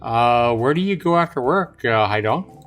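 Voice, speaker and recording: A man acts out a young man in a visual novel who is worn thin by his companions. He sounds wry, grumbling and incredulous, at a medium pitch. Music plays softly behind. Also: animated, normal speed, natural voice. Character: exasperated, wry young man among squabbling women. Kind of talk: visual novel character read aloud.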